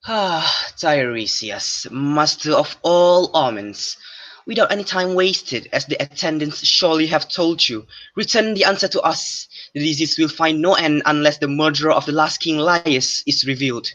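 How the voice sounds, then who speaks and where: A young man playing a proud, suspicious, quick-tempered king of ancient Greece in an amateur radio drama. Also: a little high, sharp tone, no echo. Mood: commanding. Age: young adult. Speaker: student actor. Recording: dull lo-fi recording.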